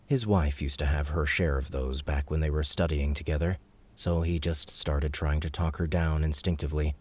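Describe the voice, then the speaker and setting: A man with an extremely deep, low voice reading an audiobook. His narration is measured and steady, paced for a listener following a story.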